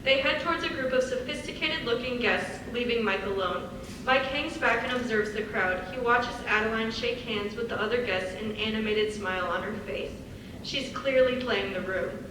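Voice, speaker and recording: A woman's voice: fairly high pitch, normal speed. A woman reading stage directions as scripted narration. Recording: echoey hall, far from mic, faint noise.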